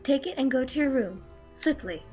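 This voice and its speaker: A woman playing a stern, calm female pony leader who speaks in a measured, authoritative way in a dramatic story reading. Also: high-pitched, muffled recording, faint music behind. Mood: quiet, firm. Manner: measured, authoritative, slow and deliberate.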